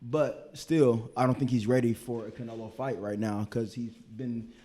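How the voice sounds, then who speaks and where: A man's voice: mid-range voice, steady tone, normal speed, mellow. A man talking boxing on a casual show, rambling and repeating words as he works out his thoughts.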